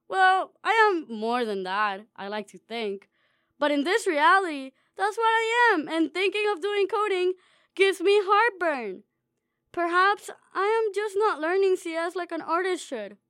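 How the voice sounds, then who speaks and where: A young woman with a very high, light voice reads her own personal essay aloud. Her delivery is wry and dreamy, and she pokes fun at herself. The recording is dry and close, with no room sound. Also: fairly bright tone, no echo. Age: young adult. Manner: wry, dreamy, playfully self-mocking. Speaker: student author reading her own essay.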